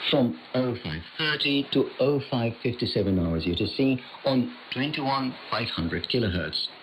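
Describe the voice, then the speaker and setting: Male shortwave announcer with lively, swinging intonation. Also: mid-range voice. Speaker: radio host reading listeners' reception reports. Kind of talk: scripted shortwave radio mailbag reading. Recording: noisy shortwave radio reception. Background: radio static and hiss.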